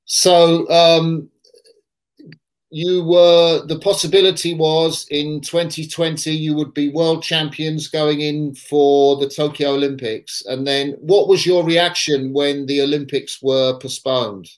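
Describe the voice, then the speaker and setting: A man's voice: fairly high pitch, little pitch movement, slow pace. Older guy interviewing over a video call, patient, spells questions out simply. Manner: warm encouraging questions, rephrasing them simply. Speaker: interview host. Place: room at home.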